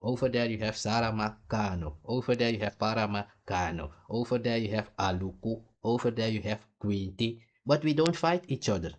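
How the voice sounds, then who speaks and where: A man's voice: lowish voice, brisk, few pauses. A man from Suriname, hosting a heated, blunt and profane reaction commentary. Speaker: commentary host.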